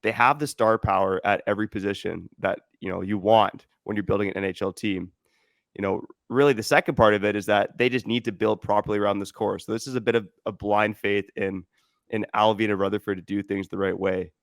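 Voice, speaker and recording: A man hosting a sports podcast, laying out his views in a measured, stat-heavy way with a lot of "you know". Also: fairly low pitch, steady tone, creaky, no echo, studio quality. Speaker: podcast host. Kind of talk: casual sports analysis.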